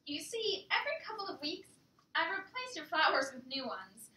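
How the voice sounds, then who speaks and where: A woman's voice: very high voice, very animated, moderate pace, bright, forceful tone, exaggerated voice. A woman playing a sweet, grateful older lady neighbour in a staged musical reading. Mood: gentle, confiding. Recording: echoey distant recording with piano.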